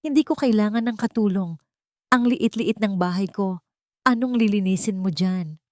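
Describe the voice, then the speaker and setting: A woman's voice: medium pitch, moderate pace, a little mellow, dry recording, pitched above the performer's own voice, close to an everyday voice. A woman with a Filipino accent voicing an irritable, world-weary old landlady in a curt, scolding manner, in a narrated story.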